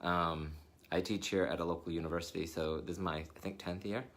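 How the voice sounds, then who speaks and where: A man's voice: low voice, steady tone, fairly quick, fairly bright tone. A man in his forties hosting a casual livestream chat from his living room over a video call, upbeat and chatty, slightly nasal from allergies. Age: 40s. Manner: upbeat, chatty, reads comments aloud. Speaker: host.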